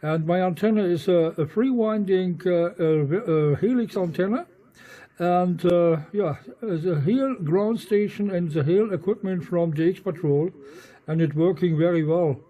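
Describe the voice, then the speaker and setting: A man with a Dutch accent making a ham radio contact in halting English, spelling things out with the phonetic alphabet. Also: sits high, mellow, dull audio. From eastern Netherlands. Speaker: amateur radio operator.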